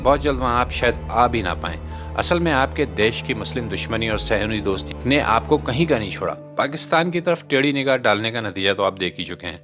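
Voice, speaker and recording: A Pakistani man with a fairly low voice reads a scripted satirical commentary. He is sarcastic and rhetorical, with music playing faintly underneath. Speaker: satirical commentator, channel host.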